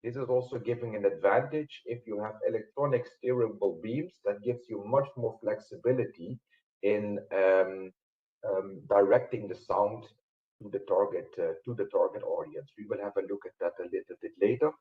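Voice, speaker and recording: A man giving a webinar product presentation in a halting, explanatory way, with frequent hesitations. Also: lowish voice. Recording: muffled phone-like webinar audio.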